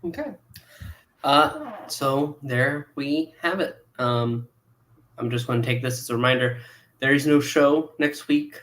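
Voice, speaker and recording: Mid-pitched man hosting a casual chat. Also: animated, a little slow, a bit bright. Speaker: show host and moderator.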